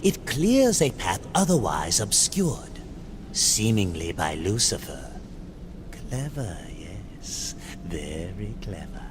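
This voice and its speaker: A sly, creepy demon merchant from a video game. He savors each word at a slow crawl, gloating as his pitch slides about, with noisy ambience behind him. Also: sing-song. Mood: creepy fascination. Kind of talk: video game creature acting.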